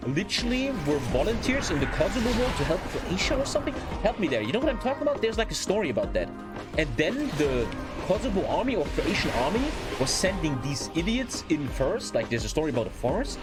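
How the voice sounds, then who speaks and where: A man with a German accent chatting casually as a live streamer, rambling, blunt and heavily sweary. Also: sits high. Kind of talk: casual livestream chat over gameplay. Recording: loud music behind the voice. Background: loud music.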